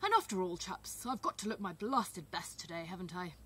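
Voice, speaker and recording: A woman's voice: on the low side, very animated, a little fast, sharp tone, slight background noise. A woman voicing a vain, anxious king in a storybook, blustering and seeking reassurance. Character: vain, anxious, self-pitying male king.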